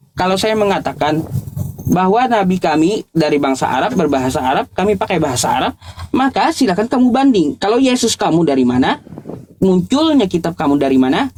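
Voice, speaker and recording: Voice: mid-range voice, sing-song, brisk, darkish tone, a little hiss or hum. A debater, speaking informally and insistently, challenging and repeating points in a religious argument. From Indonesia.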